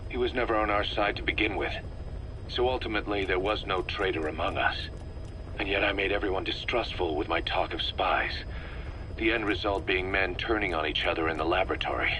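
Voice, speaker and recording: An actor playing a brooding, remorseful military commander in video game tape dialogue, speaking with terse, bitter intensity. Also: male voice, low-pitched, steady tone, a little fast, bright, forceful tone, slight background noise, rough recording. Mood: bitter, regretful.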